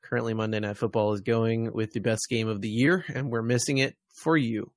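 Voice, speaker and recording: A man's voice: mid-range voice, steady tone, brisk, no echo, clean studio sound. An American man hosting a podcast, chatting casually about games and media. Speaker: podcast host.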